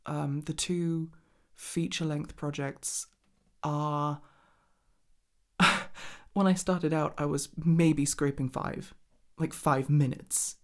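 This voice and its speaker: Low-pitched woman, casual intimate rambling. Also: fairly even intonation, takes it slowly, long pauses. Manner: informal, sweary, giggly and self-deprecating. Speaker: ASMR content creator and roleplay performer.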